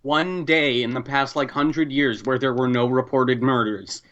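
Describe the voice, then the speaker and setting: A man's voice: medium pitch, fairly even intonation, a little slow, fairly bright tone. A man playing in a tabletop game, chatting and joking casually. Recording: dull lo-fi mic, keyboard clatter.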